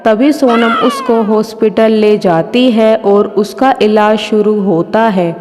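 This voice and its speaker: A woman's voice: mid-range voice, fairly even intonation, takes it slowly, a little mellow. A woman narrating a scripted children's moral story as a storyteller. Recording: long hall reverb, faint music. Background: music.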